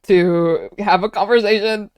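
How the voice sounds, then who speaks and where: A woman's voice: medium pitch, takes it slowly, dry recording, studio quality. A young American woman streamer chatting casually with viewers, giggling often and restarting her sentences. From United States. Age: young adult.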